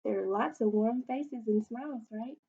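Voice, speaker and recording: A woman's voice: a little high, normal speed, dark tone, husky, highs cut off. A young woman reading a picture book aloud like a teacher, dramatically stretching out words and putting questions to children. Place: small indoor playroom.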